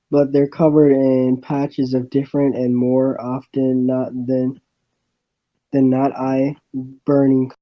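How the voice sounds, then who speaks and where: A man reading a first-person visual novel story aloud, haltingly and with stumbles over the words. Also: medium pitch, lo-fi sound.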